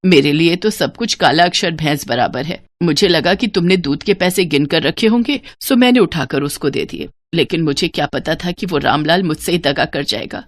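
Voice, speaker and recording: A woman's voice: low-pitched, animated, moderate pace, few pauses. A woman voicing an illiterate, trusting older mother-in-law in a story, homely, earnest and a little fretful. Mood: worried, regretful.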